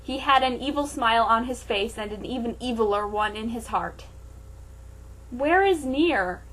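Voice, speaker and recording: A woman with a fairly high voice hosts a comic dramatic reading. She narrates theatrically and undercuts the text with sarcastic, mocking asides. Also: steady tone, a little fast, a bit bright, poor audio. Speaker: host reading fan fiction aloud.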